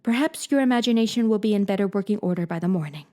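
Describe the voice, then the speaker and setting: A woman's voice: mid-range voice, very fast, a little mellow, lightly acted. A woman voicing a strict middle-aged guardian who speaks severely and dryly. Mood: stern. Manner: severe, dry.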